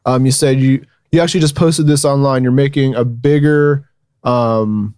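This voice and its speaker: A man's voice: medium pitch, fairly even intonation, normal speed, darkish tone, smooth, no room sound. A man hosting a podcast, asking questions in an easygoing way in a recording studio. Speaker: podcast host. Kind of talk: casual interview talk. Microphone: studio microphone.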